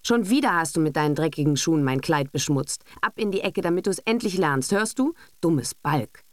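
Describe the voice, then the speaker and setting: A woman's voice: deep voice, lively intonation, moderate pace, no room sound, studio quality. A woman voicing a haughty, irritable bourgeois mother in an audiobook, speaking sternly and sharply with sarcasm. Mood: angry. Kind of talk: audiobook character acting.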